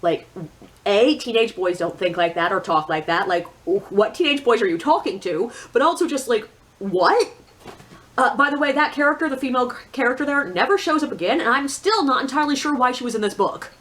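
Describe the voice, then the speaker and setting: A woman's voice: on the high side, animated, brisk, a bit bright. A woman giving a casual, opinionated book review in a blunt, sardonic, conversational way with emphatic asides.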